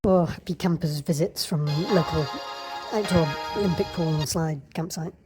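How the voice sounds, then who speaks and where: A woman's voice: deep voice, normal speed, music in the background. A woman delivering scripted narration in short, clipped phrases.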